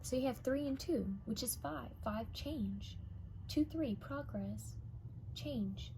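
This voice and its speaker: A young woman with a gravelly, mellow, slightly raspy voice talks straight to her viewers through a tarot reading, slipping into soft whispers as she studies each card. A faint hiss sits under the voice. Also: high voice, takes it slowly, rough recording. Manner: intuitive, addresses viewers directly, drops to whispers while reading cards.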